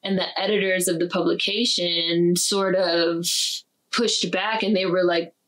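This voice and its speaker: Female host, low-ish voice, chatty casual interviewer who quotes others. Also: fairly even intonation, a little slow, a bit bright, low-quality recording. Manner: chatty, acts out what others say.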